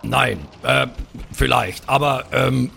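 Gravelly man, bossy tabletop player. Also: mid-range voice, fairly even intonation, slow, sharp tone, slight background noise. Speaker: player in a tabletop role-playing podcast. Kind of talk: improvised in-character tabletop play and banter.

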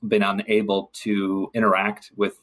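Husky man in thoughtful podcast conversation. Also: on the low side, monotone, unhurried, a bit bright. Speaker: podcast guest. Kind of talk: casual reflective conversation.

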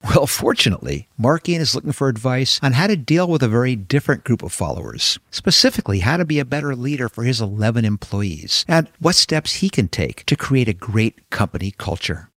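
A man's voice: medium pitch, sing-song, fairly quick, hardly any pauses, fairly bright tone, no room sound, studio quality. A man in his fifties hosting a podcast interview, enthusiastic and talkative, moving from a scripted intro into easy conversation. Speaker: podcast host.

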